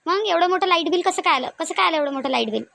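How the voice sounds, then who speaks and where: A woman voicing a domineering, thrifty, quick-tempered cartoon mother-in-law with a Varhadi Marathi accent, nagging in repeated rhetorical questions. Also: very high voice, animated, vocal fry, bright, forceful tone, exaggerated voice. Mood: scolding, sarcastic. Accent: Varhadi Marathi (Vidarbha). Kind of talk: comedic cartoon dialogue.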